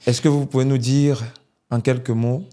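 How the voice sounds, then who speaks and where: A man's voice: mid-range voice, flat delivery, normal speed, mellow. A man hosting a business interview show, giving formal introductions and asking long probing questions. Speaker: interviewer host.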